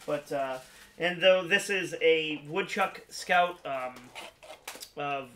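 Guy with a bright, higher voice chatting about geek stuff, kinda halting. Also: a little hiss or hum. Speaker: co-host. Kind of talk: casual chat about gaming and toys. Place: room with glass display cases, indoors.

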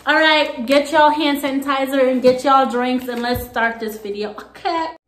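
A woman's voice: high-pitched, moderate pace, fairly bright tone. A young woman from Jamaica with a light Jamaican accent, chatting to the camera on her vlog with sassy asides, sitting on a couch at home. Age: young adult. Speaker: vlogger. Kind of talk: casual chat to camera. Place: small room at home, on a couch. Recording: echoey room.

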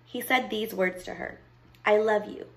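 Woman as a deliberate old lady telling fairy tales. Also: mid-range voice, steady tone, lightly acted. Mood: measured storytelling. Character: stern old lady storyteller, grandmotherly and knowing. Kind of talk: storybook character voice.

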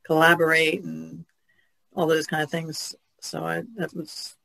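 A woman's voice: low-pitched, monotone, measured pace, creaky, bright, forceful tone, dry recording, poor audio. A woman chatting casually as a podcast guest, hesitant and understated in how she talks. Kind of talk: casual chat.

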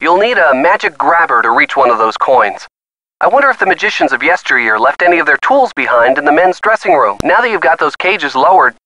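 A man's voice: fairly high pitch, pushed and bright, no room sound, dull audio. A man acting an earnest young boyfriend in a video game, giving encouraging hints with folksy turns of phrase. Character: earnest young boyfriend coaching a teen detective by phone. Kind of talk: scripted video game hint lines.

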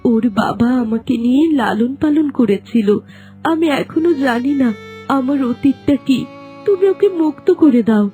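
Casting: tender, sorrowful young fairy-tale princess with a high voice, earnest delivery. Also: female voice, expressive, moderate pace, mellow. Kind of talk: cartoon fairy-tale voice acting. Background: background music.

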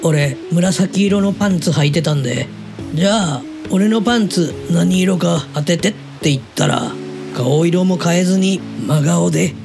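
An easygoing middle-aged uncle voiced by a man speaks in a fairly high voice with music behind him. He is casual and blunt, chatting like a soft touch in an audio drama. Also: a little slow, gravelly. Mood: chatty, amused. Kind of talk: Japanese audio drama dialogue.

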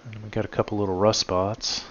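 Male host, deep and even delivery, relaxed filler-heavy garage walkaround, dull phone-recorded sound. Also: fairly quick, slight background noise. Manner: relaxed, many "uh" fillers, addresses viewers as guys. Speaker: channel host showing his truck restoration. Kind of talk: casual walkaround narration. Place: home garage.

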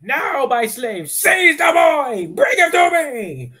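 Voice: high-pitched, big pitch swings, slow, bright, forceful tone, full character voice. A performer playing a ranting, impatient male cartoon villain who theatrically orders minions about. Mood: commanding, furious. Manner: bombastic, impatient.

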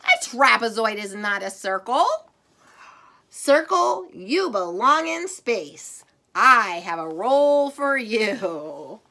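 A woman's voice: fairly high pitch, big pitch swings, slow pace, pushed and bright, full character voice. A woman voicing a bossy triangle who directs a space show in a children's storybook, firm and commanding. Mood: directing.

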